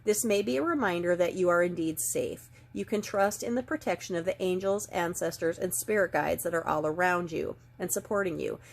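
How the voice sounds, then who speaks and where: A middle-aged woman with a slightly stuffed-up, nasal voice, gently and earnestly giving an oracle card reading and reading passages aloud. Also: fairly low pitch, brisk, creaky, hardly any pauses. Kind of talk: casual spiritual card reading.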